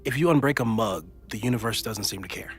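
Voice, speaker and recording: A man acting a geeky, reassuring physicist friend in a video game, with an American accent and a thoughtful, gently joking manner. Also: fairly low pitch, fast, natural voice. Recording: music under the voice. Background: game music.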